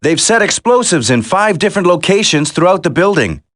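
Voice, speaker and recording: An actor playing an earnest, determined young special-forces soldier in a dramatic video game. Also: male voice, sits high, very animated, fairly quick, a bit bright, no room sound, studio quality. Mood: focused.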